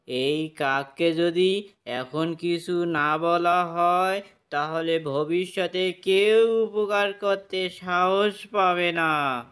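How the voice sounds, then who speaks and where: Man as a very slow, fair-minded cartoon bird. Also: on the high side, fairly bright tone, clear, resonant tone. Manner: deliberate, moralising. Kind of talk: Bengali children's cartoon dialogue.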